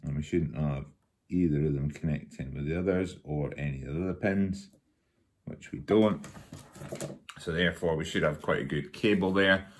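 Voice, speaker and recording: A man giving an informal hands-on tutorial, hesitant and thinking aloud as he works. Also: very deep voice. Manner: unhurried, hesitant, thinking aloud while working. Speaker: hobbyist presenter of a workshop how-to. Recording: noticeable background noise while working.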